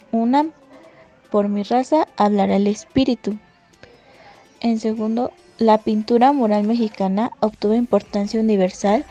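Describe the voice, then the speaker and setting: A woman with a soft-edged, mellow timbre delivers a prepared educational talk. She presents like a student, setting out her material in order. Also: medium pitch.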